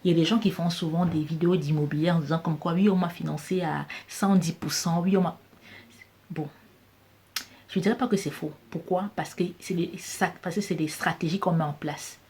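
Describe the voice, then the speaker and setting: Low-voiced Cameroonian French woman, emphatic. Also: steady tone, brisk, a little mellow. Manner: emphatic, repeats key phrases for stress. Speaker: business coach vlogger. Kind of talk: motivational talk to camera.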